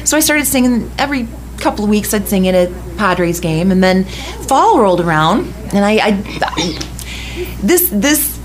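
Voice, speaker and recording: A middle-aged woman from West Michigan talks in a loose, chatty way, stopping to laugh and restarting her sentences as she tells the story. Her pitch sits in the middle of the usual range. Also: sing-song, normal speed, rough recording. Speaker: radio host telling a story. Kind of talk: casual chat.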